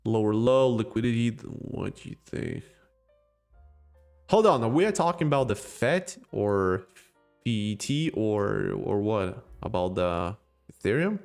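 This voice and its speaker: A man's voice: on the low side, lively intonation, measured pace, a little mellow, soft background music. A man with a non-native English accent hosting a casual crypto live stream, joking and rambling as he answers chat. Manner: rambling, joking, with laughs and restarts.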